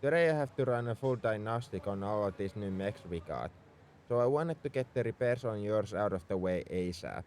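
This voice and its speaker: A man's voice: fairly low pitch, lively intonation, brisk, darkish tone, slight background noise, close to an everyday voice. A man playing a tsundere mech engineer in a roleplay audio drama, scolding and sarcastic with worry underneath. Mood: gruff, defensive. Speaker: voice actor.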